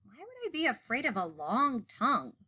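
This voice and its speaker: A woman reading a children's picture book aloud in an expressive narrating style. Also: high-pitched, normal speed, husky. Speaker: story reader.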